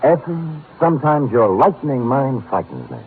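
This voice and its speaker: Cocky, tinny-radio private eye. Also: male voice, mid-range voice, big pitch swings, moderate pace, a little hiss or hum. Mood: wry, confident. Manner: wry, flippant delivery. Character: wisecracking, cocky male private detective. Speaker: lead actor in a radio detective drama. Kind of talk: 1950s radio detective acting, first-person narration and banter. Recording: tinny old 1950s radio recording, music behind.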